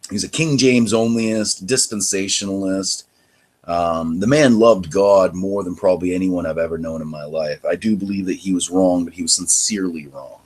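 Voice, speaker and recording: A young man from Maine with a deep voice, hosting and telling his own life story in a rambling, candid way that turns heated and emphatic. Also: slightly rough. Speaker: podcast host. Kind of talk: personal testimony monologue.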